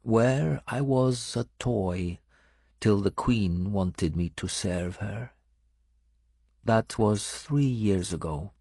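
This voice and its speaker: A male narrator voicing a bitter, guarded foreign woman, a former slave, in halting, sparse phrases. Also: lowish voice, creaky, mellow, slightly rough, no echo, clean studio sound, full character voice.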